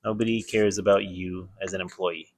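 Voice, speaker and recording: A man's voice: low-pitched, level tone, fairly quick, rough recording. A man hosting a reaction video, chatting casually and reading viewer comments aloud.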